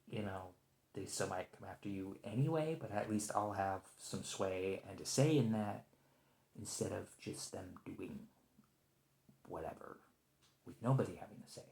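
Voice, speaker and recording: In a roomy, echoing space, a man plays a nervous agent of a secret organization in an ASMR roleplay. The voice is soft-edged and dark, with a gravelly fry, and it moves haltingly and politely, always trying to smooth things over. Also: on the low side. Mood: pleading, conciliatory. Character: nervous, conciliatory male agent of a secret organization negotiating with a creature. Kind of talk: ASMR roleplay, improvised one-sided conversation.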